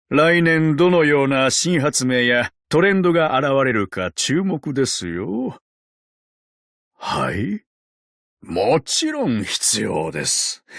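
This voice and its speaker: A man voicing a courteous, wry, ancient undead detective in a tin exoskeleton for a game, with polite, gentlemanly phrasing. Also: mid-range voice, dry recording, clean studio sound. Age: older. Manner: polite, unhurried, sardonic gentlemanly speech. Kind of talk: Japanese game character voice lines.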